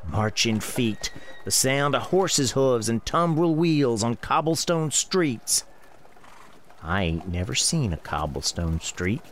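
An actor playing a plainspoken, watchful country storekeeper, narrating a supernatural radio drama in a deliberate, homespun way. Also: male voice, on the low side, a bit bright, a little hiss or hum. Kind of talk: first-person radio drama narration and dialogue.

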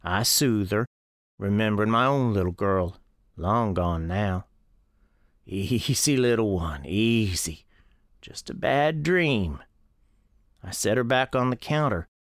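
A man playing a folksy, watchful old American storekeeper who is gentle with children, telling his story in a radio drama. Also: fairly low pitch, animated, takes it slowly, pauses often, no echo, studio quality. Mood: soothing. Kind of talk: first-person scripted storytelling in radio drama.

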